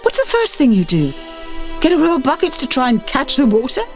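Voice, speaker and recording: Actress as firm, animated woman investigator. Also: high-pitched, fairly quick, soft background music, pitched above the performer's own voice. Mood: decisive. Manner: firm, decisive, emphatic. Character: brave, quick-witted woman investigator and mother.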